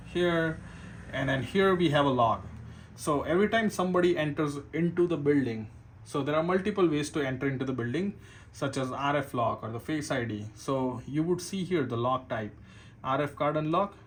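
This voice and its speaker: A man's voice: on the high side, moderate pace. A man giving an informal, unscripted product walkthrough as an installer, explaining step by step and often checking in with the listener. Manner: explanatory, often ends points with a checking "right?". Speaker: security system installer giving a product demo. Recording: clear background ambient noise.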